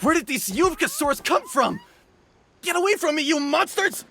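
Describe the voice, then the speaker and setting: Petty crook for a game: gravelly but high-pitched, panicky, pleading, overdramatic, music underneath. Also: sing-song, moderate pace, bright, forceful tone, a little hiss or hum, exaggerated voice. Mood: panicked, pleading. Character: cowardly, whining petty thief begging for mercy. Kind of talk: video game voice acting.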